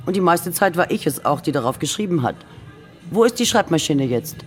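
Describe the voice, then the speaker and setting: Brisk, swooping practical wife. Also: female voice, sits low, sing-song. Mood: matter-of-fact. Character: brisk, practical first wife of a famous writer. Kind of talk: radio play acting. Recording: loud music behind the voice.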